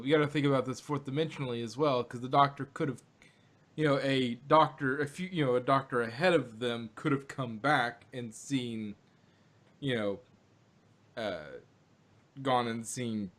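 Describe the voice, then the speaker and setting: A man's voice: medium pitch, measured pace, a bit bright. A man co-hosting a fan podcast review, talking casually and rambling with lots of fillers and restarts. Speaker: podcast co-host.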